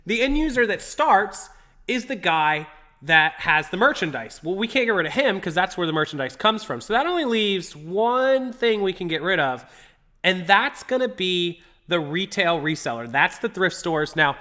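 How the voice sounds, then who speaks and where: A man's voice: sits high, bright, forceful tone. A man hosting a live stream, chatty and upbeat, greeting viewers by name and sounding a little congested from a stuffy nose. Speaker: livestream host. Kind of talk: casual live-stream talk to chat.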